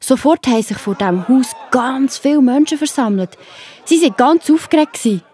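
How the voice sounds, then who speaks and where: A woman's voice: high voice, lively intonation, fairly quick, warm tone. A woman with a Swiss German accent narrating a children's Bible story in a scripted storytelling style.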